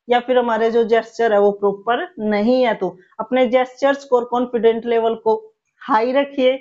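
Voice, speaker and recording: Woman teacher slowly dictating English examples. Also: on the high side, darkish tone, lo-fi sound. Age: 30s. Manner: slow, spelled-out dictation of English example sentences; explains in Hindi with English words mixed in. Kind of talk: spoken English lesson.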